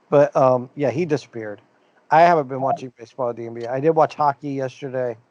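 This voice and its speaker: A man's voice: medium pitch, darkish tone, highs cut off. A man around fifty co-hosting a podcast, telling rambling stories in casual chat and laughing often. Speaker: podcast co-host.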